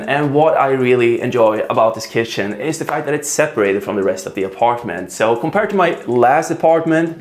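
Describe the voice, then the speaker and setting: A young man in his twenties with a Swedish accent, casually showing viewers around his apartment and often checking in with "right?" and "you know". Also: mid-range voice. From Sweden. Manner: relaxed, chatty, peppered with "right?" and "you know". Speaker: vlogger. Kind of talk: casual vlog apartment tour. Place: apartment indoors.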